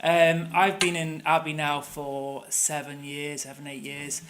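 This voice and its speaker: A man's voice: a little high, flat delivery, a little slow, a bit bright. Manchester guy doing a conference talk, lots of ums, bit of hiss in the room. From England. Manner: conversational with frequent fillers. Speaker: tour operator presenter. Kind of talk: conference presentation.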